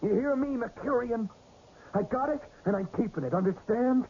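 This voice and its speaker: A man's voice: extremely high pitch, normal speed, warm tone, husky. An actor playing a resentful, volatile man in a radio drama, talking in an insistent, agitated, defiant way. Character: resentful, volatile man with a newfound psychic gift. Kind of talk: 1980s radio drama acting. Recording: muffled, slightly noisy old radio recording.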